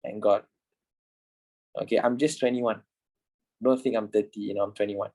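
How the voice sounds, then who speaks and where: A man's voice: lowish voice, fairly even intonation, normal speed, dark tone. A man in his twenties teaching a trading class in a chatty, repetitive way, swearing now and then. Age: 20s. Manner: chatty, repeats himself, swears casually. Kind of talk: casual class lecture.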